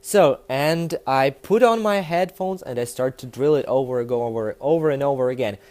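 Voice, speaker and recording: A young man with a Russian accent, a native Russian speaker, talking to the camera in a casual, animated and emphatic way, with many fillers. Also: mid-range voice, moderate pace. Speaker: language learning vlogger.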